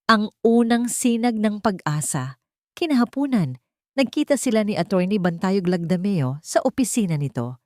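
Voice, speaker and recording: A woman's voice: medium pitch, expressive, normal speed, a little mellow, no room sound, clean studio sound. A woman with a Filipino accent reading a scripted, dramatic love story as its narrator.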